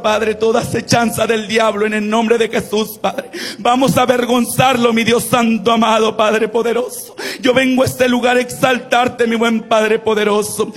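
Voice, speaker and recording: A man in his twenties preaching in Spanish, leading the congregation and praying fervently with repeated invocations. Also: high-pitched. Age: 20s. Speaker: guest preacher. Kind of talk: evangelical sermon and prayer.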